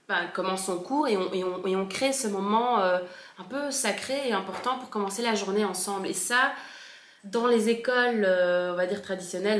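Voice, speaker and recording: A woman fondly recalling her school years in a relaxed chat, often pausing and restarting her sentences. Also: medium pitch. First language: French. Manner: hesitant, many fillers and restarts. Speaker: former Steiner school pupil. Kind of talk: casual recollection. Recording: echoey room.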